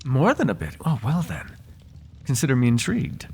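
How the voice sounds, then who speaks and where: A man's voice: medium pitch, sing-song, normal speed, creaky, warm tone, slight background noise. A man playing a devoted, flirtatious butler in an intimate ASMR roleplay, speaking in formal, genteel phrases with playful teasing. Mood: curious, gossipy. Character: devoted, flirtatious, gossip-loving male butler to a noble.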